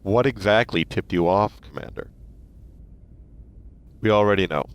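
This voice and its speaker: A man's voice: lowish voice, a little slow. An actor playing a stern male starfleet admiral who gives clipped, commanding orders.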